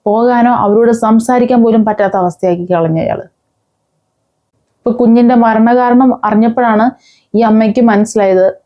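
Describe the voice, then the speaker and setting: A woman vlogger, giving angry, scolding commentary with many pauses and restarts. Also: on the high side, highs cut off. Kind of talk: angry commentary on a news case.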